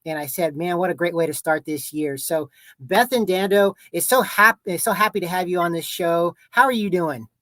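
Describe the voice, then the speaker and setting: A man with a Texan accent hosting a podcast interview, upbeat and a little halting, repeating words as he goes. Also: high-pitched, pushed and bright, no room sound. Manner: upbeat, stumbles and repeats words, says "obviously" and "mm-hmm". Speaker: podcast host.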